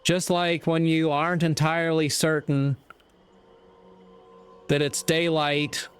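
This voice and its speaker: A man delivering a reflective motivational monologue in a measured, earnest way, with deliberate pauses. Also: a little high, little pitch movement, clear, resonant tone, faint music behind. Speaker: speaker giving moral reflections.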